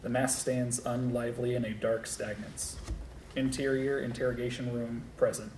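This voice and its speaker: A man's voice: flat delivery, moderate pace, a little mellow. A man reading scripted stage directions aloud as narrator at a table read. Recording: echoey room with low rumble.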